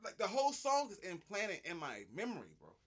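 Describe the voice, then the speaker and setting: A young man in casual, profane chat, animated and dropping into bits of song. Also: sits high, a little fast, slightly rough.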